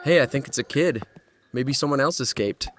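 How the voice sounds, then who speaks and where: An earnest, naive young man, acted by a man with a medium-pitched voice, hesitant and easily shaken, in a horror audio drama. Noticeable noise sits behind him. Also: normal speed, natural voice. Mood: concerned. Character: naive, anxious young man trapped in a nightmare world. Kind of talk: horror audio drama acting with first-person narration. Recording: clearly noisy background.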